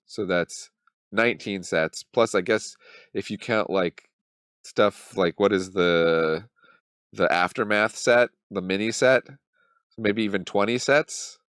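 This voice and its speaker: A man's voice: deep voice, a bit bright, clear, resonant tone, no room sound, clean studio sound. A man in his thirties hosting a casual commentary channel, talking with a cold and thinking aloud in a wry, loose way. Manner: wry, mock-dramatic, thinks aloud with many ums. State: has a cold. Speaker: channel host.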